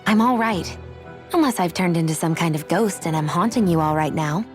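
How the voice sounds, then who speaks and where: A woman playing a proud, guarded young healer in a video game, briskly brushing off concern. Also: fairly low pitch, soft background music, lightly acted. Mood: reassuring. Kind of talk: video game cutscene voice acting.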